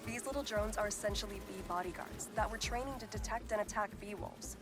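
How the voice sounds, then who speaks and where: An actress voicing a polished, precise woman scientist with a clear, explanatory manner. Her voice sits at an ordinary middle pitch for a woman. A video game cutscene. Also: a little fast, music in the background, lightly acted.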